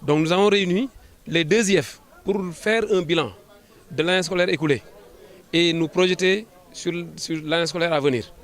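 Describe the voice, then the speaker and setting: A man with a Senegalese accent answering an interview as a government official, in formal administrative phrasing, often halting and repeating words. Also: a little high. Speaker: government official, sub-prefect.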